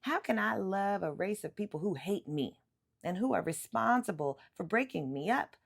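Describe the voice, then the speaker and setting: Determined young boy for a picture book, played by a woman reader, earnest and emphatic, very lively intonation. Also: medium pitch, moderate pace, gravelly. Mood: hurt, puzzled. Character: eager, stubborn, determined little boy.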